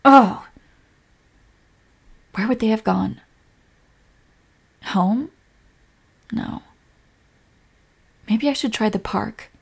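A woman's voice: a little high, extremely slow, gravelly, pauses often, slightly rough, exaggerated voice. A woman acting a hurt, loving girlfriend in a romantic audio roleplay, speaking emotionally and directly to her partner. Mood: self-reproaching, worried. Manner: emotional, swinging from sharp reproach to tender whispers. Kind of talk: scripted romantic audio roleplay, addressing the listener.

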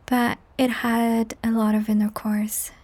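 A young woman hosting a whispered, ASMR-style chat podcast, rambling softly with giggles, her voice strained and cracked. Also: a little high, flat delivery, a little slow. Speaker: podcast host and audio creator. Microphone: high-end studio microphone.

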